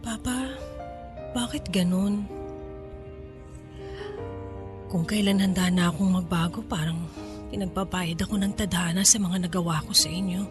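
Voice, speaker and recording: An actress with a Filipino accent playing a loving, vulnerable, remorseful young adult daughter in an emotional radio drama style. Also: female voice, fairly low pitch, normal speed, over music, natural voice. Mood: grieving. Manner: emotional, pleading, apologetic.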